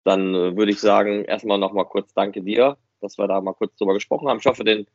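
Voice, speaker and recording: Low-voiced man in muffled podcast chat. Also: steady tone, brisk, a little mellow, no room sound. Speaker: fan podcast host. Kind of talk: casual unscripted podcast chat. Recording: muffled, dry, band-limited audio.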